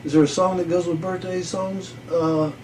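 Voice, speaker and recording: A man's voice: sits high, level tone, normal speed, noisy background. A man joking around and singing a birthday song for a friend with a partner, goofy and theatrical.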